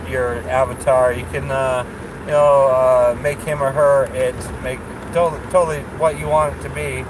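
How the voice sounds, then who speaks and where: Rambling man vlogging from his car. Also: medium pitch. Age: 30s. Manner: meandering, many fillers and restarts, chuckles often. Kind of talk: rambling casual vlog. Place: parked car at night. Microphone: phone camera on dashboard. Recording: noisy car interior.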